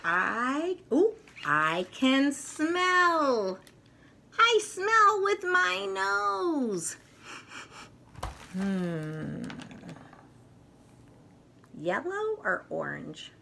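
A middle-aged woman reads aloud for young children in a bright, lively voice that swings widely in pitch. Her delivery is expressive and deliberate, like a teacher at storytime. There is faint noise in the room behind her. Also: vocal fry. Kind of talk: picture book read-aloud for young children. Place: furnished room at home, indoors.

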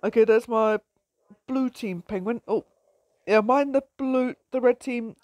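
A woman casually commenting on her own gameplay with a croaky voice, giggling and exclaiming often. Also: a little high, a little slow, no echo, lo-fi sound. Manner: giggly, excitable, frequent laughs and exclamations.

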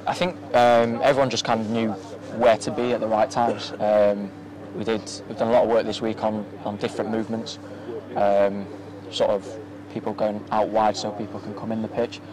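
Soft-spoken young footballer, low flat voice. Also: male voice, normal speed, crowd noise, rough recording. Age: young adult. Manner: soft-spoken, many ums and restarts. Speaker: professional footballer. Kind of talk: post-match interview. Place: outdoors at a floodlit football ground at night. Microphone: handheld interview mic. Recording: outdoor ambience with faint noise.

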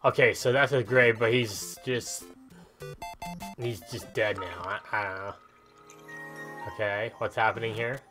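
A man doing casual gameplay commentary as a video host, rambling and thinking out loud as he reacts. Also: lowish voice, fairly even intonation, a little slow, long pauses, music in the background.

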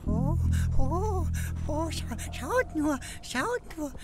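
A woman's voice: sits high, expressive, very slow, over music, heavily acted. A woman playing a greedy, gleeful fiend from hell in a radio play, gloating and pleading for fresh prey.

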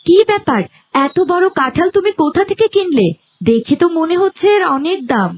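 A woman voicing a sharp village wife in a cartoon, scolding and questioning with moral indignation. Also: very high voice, expressive, fairly quick, faint music behind. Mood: suspicious.

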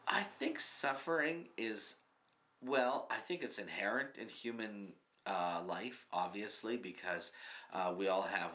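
Bright-voiced man in his fifties. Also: fairly low pitch. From Canada. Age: 50s. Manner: earnest, matter-of-fact, many ums. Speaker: comedy writer, guest. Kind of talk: mock interview, plain answers. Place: small studio with curtain backdrop.

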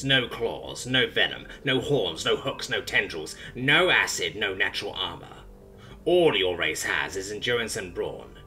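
A man voicing an ancient, bitter alien abductor with needle teeth, speaking slowly and menacingly, often in a whisper. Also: sits low, bright, forceful tone, voice pushed down, full character voice.

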